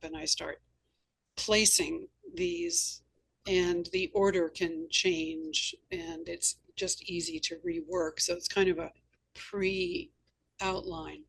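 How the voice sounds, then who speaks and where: A woman host and novelist talking conversationally about writing, with measured, articulate phrasing. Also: lowish voice, steady tone, vocal fry, slightly rough, low-quality recording. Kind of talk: conversational literary discussion.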